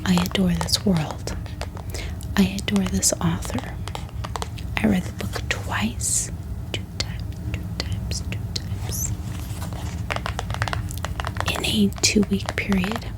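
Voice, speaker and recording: An American woman speaking in a soft, gentle ASMR style as she presents books in a calming, unhurried manner. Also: medium pitch, rough recording. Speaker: ASMR book reviewer and host. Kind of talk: soft-spoken whispered ASMR haul.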